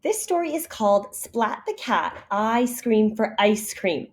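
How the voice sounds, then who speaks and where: A woman reading a picture story aloud to kindergartners in an expressive, deliberate teacher's manner. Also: lowish voice. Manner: animated, deliberate storytelling for young children.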